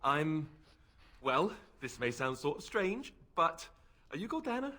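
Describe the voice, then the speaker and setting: An actor playing an awkward, earnest, boyish young warrior in a video game, hesitant and prone to nervous babbling. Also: male voice, fairly high pitch, sing-song, unhurried, pushed and bright, heavily acted. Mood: awkward, hopeful.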